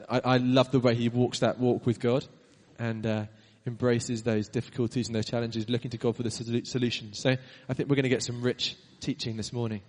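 A man's voice: mid-range voice, a little mellow. A man introducing the speaker and then leading an earnest spoken prayer in church. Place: church hall. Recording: reverberant church hall.

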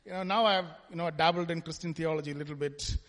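Man, high voice, giving a chatty church testimony, echoing in a big hall. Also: steady tone, fast, a bit bright. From Kerala, India. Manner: conversational, tags lines with "right?". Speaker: church speaker. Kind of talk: personal testimony talk at church. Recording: long hall reverb.

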